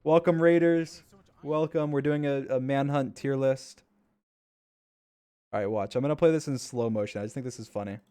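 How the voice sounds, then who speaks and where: A man's voice: mid-range voice, fairly even intonation, moderate pace, dark tone, smooth. A man casually commenting on his own gameplay clips during a stream, rambling and repeating himself with enthusiasm.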